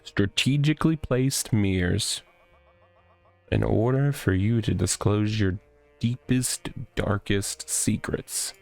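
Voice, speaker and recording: A man's voice: lowish voice, takes it slowly, vocal fry, a little mellow, soft background music. A man voicing a pompous, delusional self-styled cop in a visual novel, with a theatrical, wordy, rambling delivery. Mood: smug, gloating. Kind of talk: visual novel character reading.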